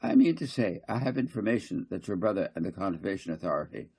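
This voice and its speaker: A man reading a story, voicing a flustered, argumentative town councillor who blusters haltingly and repeats himself. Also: sits low, animated, dark tone, breathy edge, exaggerated voice. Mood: agitated, blustering.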